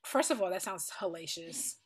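A woman co-hosting a podcast, chatting casually about music in an animated, playful, colloquial way. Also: fairly low pitch, moderate pace, breathy edge. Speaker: podcast co-host. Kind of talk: casual chat and music commentary.

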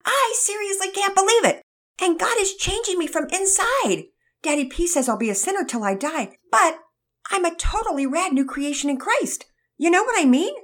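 A woman's voice: very high voice, expressive, normal speed, fairly bright tone, pitched above the performer's own voice. Todd, a woman voicing an eager teenage boy. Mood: earnest. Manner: eager, earnest, slangy. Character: enthusiastic teenage boy, newly devout son.

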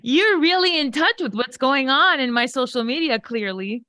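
A woman in her forties banters as a guest in a playful, teasing way. Her voice is smooth and clear and sits a little high. The sound is close and dry. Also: animated, moderate pace, sharp tone, no room sound. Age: 40s. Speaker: podcast guest, singer and podcaster. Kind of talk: casual bantering chat.

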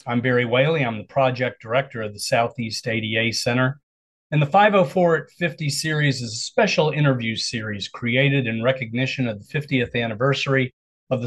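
A man giving a scripted, formal introduction as the host of a podcast series, in a deliberate way. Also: medium pitch. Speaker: series host and project director introducing guests.

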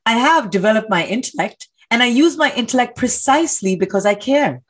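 A woman's voice: a little high, lively intonation, a little fast. An actress playing an earnest, intellectual woman who challenges authority, speaking articulately and emphatically in dramatic dialogue. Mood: earnest, pained. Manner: articulate, emphatic, rhetorical questioning. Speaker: actor in a stage play reading.

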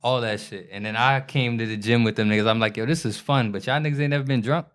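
A man's voice: mid-range voice, fast talker. Laughing, profane man in casual banter. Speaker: podcast co-host. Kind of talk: casual chat.

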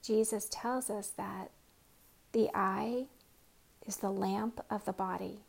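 Woman meditation guide, soft and often whispered, gravelly, flat, unhurried. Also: medium pitch.

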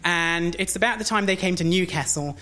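A woman's voice: on the low side, sharp tone. A woman from North East England performing a spoken word poem on stage, then chatting to the audience between poems. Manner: intense measured verse delivery, then chatty asides with fillers. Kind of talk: poetry performance and stand-up patter. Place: live stage before an audience.

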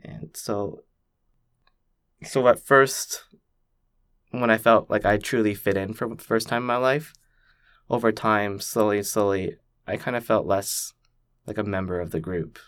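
A young man from Canada, thoughtfully telling his own story as a podcast guest, with many pauses and "like" fillers. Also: fairly low pitch, steady tone, a bit bright, clean tone, no echo, studio quality. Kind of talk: reflective personal storytelling.

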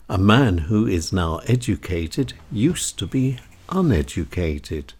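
A man reading a scripted essay in a measured, deliberate way, pausing often between phrases. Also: fairly low pitch, warm tone, slightly rough. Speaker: essayist reading own work.

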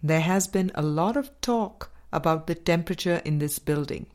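A woman reading a spiritual book aloud as a scripted narration, with measured, deliberate phrasing in short groups. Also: low-pitched, a little mellow. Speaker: audiobook reader.

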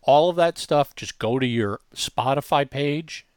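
Man giving an emphatic, informal software walkthrough. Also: medium pitch, measured pace, smooth, no echo, studio quality. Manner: informal, emphatic, addresses listeners directly. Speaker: co-host demonstrating an online tool.

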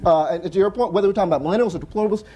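A young adult man on a conference panel, talking earnestly and fast with frequent restarts and "right?" tags. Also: high-pitched, warm tone, breathy edge. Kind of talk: panel discussion answer. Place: conference hall with audience.

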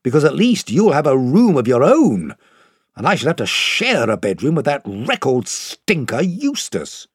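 Man voicing an earnest, plaintive British schoolboy, lively pitch. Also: moderate pace, slightly rough, no echo, studio quality. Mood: aggrieved. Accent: British Received Pronunciation. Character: earnest, grumbling English schoolboy.

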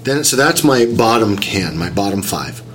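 Guy with a stuffy nose from a cold, kinda whiny, lowish voice, faint hum behind. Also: animated, moderate pace, fairly bright tone. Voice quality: slightly whiny. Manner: rambling, explains step by step. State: getting over a cold, nasal. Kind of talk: casual chat.

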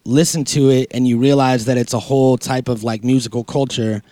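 A man of medium pitch speaks with a soft, dark tone. He chats informally and slangily, as on a podcast, and the sound is dry with no room around it. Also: steady tone, fairly quick, dry recording. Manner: informal, slangy, peppered with "you know". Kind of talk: casual podcast chat.

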